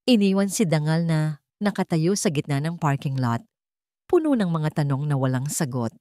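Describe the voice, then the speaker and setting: A woman's voice: low voice, animated, normal speed, dark tone, no echo, clean studio sound. A woman with a Filipino accent reading a scripted romantic drama as a story narrator.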